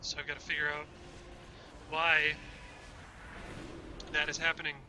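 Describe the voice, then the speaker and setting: A man's voice: a little high, monotone, measured pace, sharp tone, a little hiss or hum. A young man streaming a game at home, chatting casually with viewers as he plays and rambling about what he is doing. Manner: chatty, rambling, talks to chat while playing. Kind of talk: casual gameplay livestream chat. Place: home room. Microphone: desk microphone.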